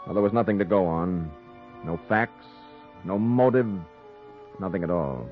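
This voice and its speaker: A man acting as a dogged American noir reporter, pitched low, telling his crime story like a 1940s radio thriller. The recording is narrow and muffled, with music behind him. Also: moderate pace, lightly acted. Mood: brooding, ominous.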